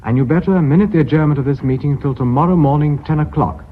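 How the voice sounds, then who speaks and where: A man acting in a radio drama as a brisk, decisive businessman in charge of an embattled firm. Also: on the high side, close to an everyday voice. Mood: businesslike. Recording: muffled lo-fi radio recording, faint hiss.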